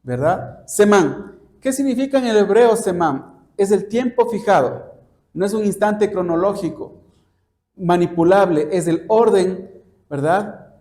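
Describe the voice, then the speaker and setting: High-pitched, mellow male preacher. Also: expressive, unhurried. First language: Spanish. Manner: didactic, often asking rhetorical and checking questions. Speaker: church preacher and Bible teacher. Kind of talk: expository sermon taught like a lesson.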